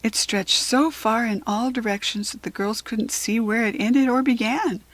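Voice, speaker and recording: A woman's voice: fairly high pitch, steady tone, moderate pace, no room sound, rough recording. A woman with an American accent reading a children's storybook aloud as a narrator.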